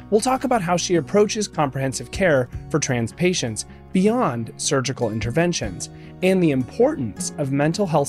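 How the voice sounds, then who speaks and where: Higher-voiced male podcast host. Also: a little mellow. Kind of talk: scripted intro and interview questions.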